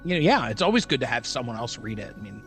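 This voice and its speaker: A man's voice: mid-range voice, big pitch swings, fast talker, a bit bright, faint music behind. A man co-hosting a podcast in casual chat, agreeable and somewhat tentative in how he phrases things. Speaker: podcast co-host.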